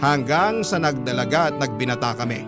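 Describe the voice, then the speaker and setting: A man's voice: mid-range voice, lively intonation, fairly quick, close to an everyday voice. A man playing a young letter writer, narrating the story of his youth in a scripted drama style. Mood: reflective. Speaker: first-person narrator. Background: loud guitar music.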